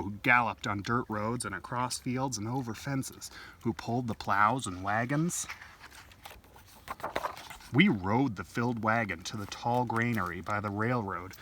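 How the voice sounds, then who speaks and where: Slow-paced man reading a children's story. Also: mid-range voice. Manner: gentle, unhurried, explaining things to a small child. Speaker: library storytime host. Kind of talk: reading a picture book aloud with chatty asides. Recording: distant home-video mic with faint noise.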